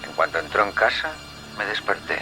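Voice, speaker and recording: Deep-voiced, chillingly calm killer played by a man. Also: music in the background, close to an everyday voice, rough recording. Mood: cold, brooding. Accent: Spain. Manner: measured, coldly matter-of-fact, darkly sardonic. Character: calm, chilling serial killer recounting his crimes in an interview. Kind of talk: Spanish radio drama acting.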